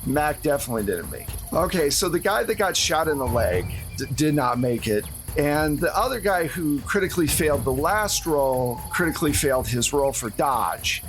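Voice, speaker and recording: A man's voice: fairly high pitch, expressive, moderate pace, a little hiss or hum. A man narrating a tabletop horror roleplaying game as game master, in a casual, conversational way.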